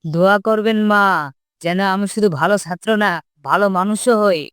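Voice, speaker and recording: Someone playing a clear-voiced, earnest young schoolboy. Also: medium pitch, normal speed, fairly bright tone, dry recording, studio quality, close to an everyday voice. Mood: devout, respectful. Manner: polite, earnest, respectful. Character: earnest, pious, kind-hearted schoolboy. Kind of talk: scripted cartoon dialogue.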